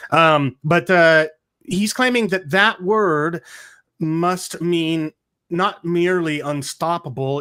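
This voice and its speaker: A man with a slightly raised pitch hosts a relaxed theology discussion. He asks long, searching questions and trips over his words as he thinks aloud, restarting phrases before landing them. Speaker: podcast host.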